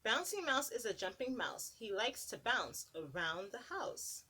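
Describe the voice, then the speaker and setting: A woman's voice: on the high side, sing-song, a little slow, pushed and bright, slightly rough. A woman preschool teacher reading a picture book aloud to young children, stopping to ask them questions. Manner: sing-song, asks children questions between pages.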